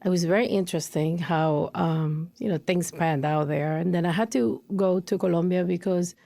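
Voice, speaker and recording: A woman from Ecuador tells her career story as a podcast guest, chatty and full of fillers. Her voice is low and gravelly with a mellow tone, and the sound is close and dry. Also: normal speed. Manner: chatty storytelling with fillers, acts out her own past reactions. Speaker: podcast guest, compliance analyst.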